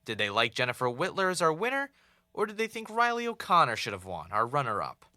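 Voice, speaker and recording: A man acting as a wry, uneasy podcast host in an audio drama, with a casual, halting, conversational delivery. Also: fairly high pitch, huge pitch swings, pushed and bright, no echo, clean studio sound, full character voice. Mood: chatty, teasing. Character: Ray, wry, uneasy male podcaster investigating his own death.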